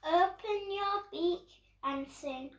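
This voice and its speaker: High-pitched young British girl reading slowly. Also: slight background noise. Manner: playful, acts out bird calls and actions. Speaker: storyteller reading a picture book for young children. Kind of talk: read-aloud storytime. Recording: roomy echo, distant microphone.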